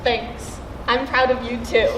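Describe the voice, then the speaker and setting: High, slow-paced modest schoolgirl played by a woman. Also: level tone. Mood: mild, modest. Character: modest, well-liked high school homecoming queen. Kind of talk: amateur school stage play acting. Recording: echoey stage with clear noise.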